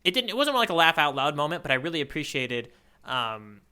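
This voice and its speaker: A man with a bright, slightly high voice talks casually as a podcast co-host. His pitch swings around in an animated way, and his delivery is playful and joking. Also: a little fast, smooth. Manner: playful, slips into exaggerated mock voices. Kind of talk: casual comedic chat about manga.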